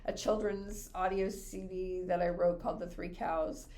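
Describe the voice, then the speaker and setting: A woman in her fifties with a raspy voice, a radio broadcaster chatting as an interview guest. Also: on the low side, moderate pace. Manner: chatty, animated storytelling. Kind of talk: interview conversation.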